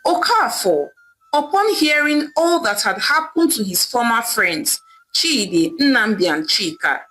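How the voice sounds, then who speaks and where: A woman narrating a scripted folktale in an expressive, dramatic storytelling manner, with emphatic pauses. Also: a little high, faint music behind, poor audio.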